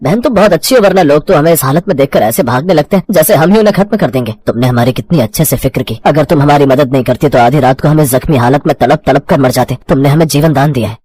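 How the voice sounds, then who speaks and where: A man's voice: on the high side, expressive, quick pace, hardly any pauses, darkish tone, slightly rough, no room sound. A man voicing a grateful wounded soldier, heartfelt in manner, in a cartoon story.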